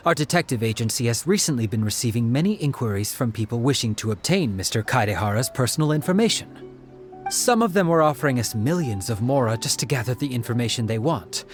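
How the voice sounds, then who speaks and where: A man acts as a courteous detective in a video game, talkative and principled. His mid-pitched voice moves around a lot as he explains, with faint music underneath. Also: lightly acted. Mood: polite, candid. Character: polite, talkative, principled male detective agency investigator.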